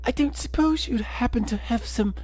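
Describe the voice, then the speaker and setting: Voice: mid-range voice, normal speed, darkish tone, faint music behind, pitched above the performer's own voice, lightly acted. A man voicing a poor, sickly mother in a game, begging politely. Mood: pleading. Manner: pleading, polite.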